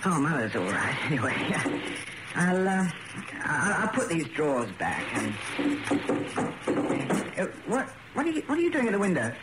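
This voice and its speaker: Flustered, stammering gentleman, lively pitch. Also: normal speed, loud music behind the voice. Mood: relieved, flustered. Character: anxious, flustered well-mannered society hairdresser, grown son. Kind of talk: radio drama acting. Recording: noisy old radio drama recording.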